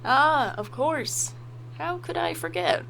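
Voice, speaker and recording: A woman's voice: high-pitched, sing-song, takes it slowly, gravelly, fairly bright tone, a little hiss or hum, heavily acted, low-quality recording. A woman playing an anxious, sardonic older sibling in an audio drama, with a dry, wry, self-deprecating way of talking. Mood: sardonic, nervous. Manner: dry, wry, theatrical sarcasm. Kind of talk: audio drama acting with first-person narration.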